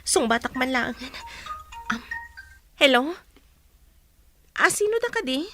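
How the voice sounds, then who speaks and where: An anxious young wife in an Ilocano radio play, with an Ilocano accent. Her voice is high and dry, and she pleads urgently over faint music. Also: animated, takes it slowly, fairly bright tone, no room sound, exaggerated voice. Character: anxious young wife awaiting news of husband. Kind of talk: radio drama acting.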